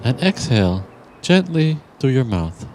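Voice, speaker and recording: A man narrating a guided meditation in a gentle, soothing, deliberate manner with long pauses. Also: medium pitch, very animated. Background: music.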